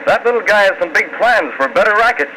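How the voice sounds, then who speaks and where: Cool, persuasive vigilante hero, lively inflection, old noisy radio thriller. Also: male voice, high-pitched, fast talker. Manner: persuasive, commanding. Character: cool, crafty, commanding masked male vigilante. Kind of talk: 1940s radio acting.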